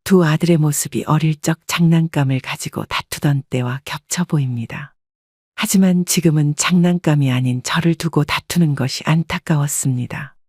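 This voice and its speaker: A woman narrating a story in the first person, calm and measured. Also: sits low, slightly rough, no echo, clean studio sound.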